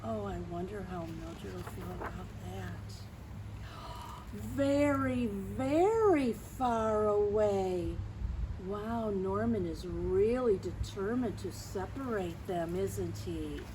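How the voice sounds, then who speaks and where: A woman's voice: medium pitch, takes it slowly. A woman hosting a children's storytime, reading a picture book expressively and adding questioning asides to young listeners. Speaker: storytime host. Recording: clearly noisy background.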